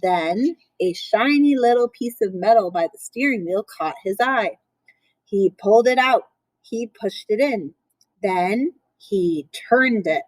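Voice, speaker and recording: A woman reading a picture book aloud for children in a playful, expressive way, with chatty asides. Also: mid-range voice, takes it slowly, dry recording, low-quality recording.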